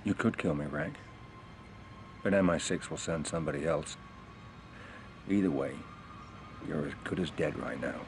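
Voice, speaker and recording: A man's voice: rumbling low, normal speed, creaky, pauses often. A man playing a cool, defiant British secret agent in a video game, with a British accent and a terse, dryly confident delivery. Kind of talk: cinematic video game acting. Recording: game soundtrack music behind. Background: music.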